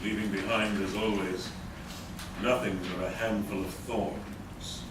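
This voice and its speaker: A man's voice: on the low side, flat delivery, a little slow, natural voice. A man playing a noble, defiant gargoyle leader in a radio play, solemn and declamatory. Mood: grim. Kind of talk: live staged radio play acting. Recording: echoey hall, distant mic, noisy.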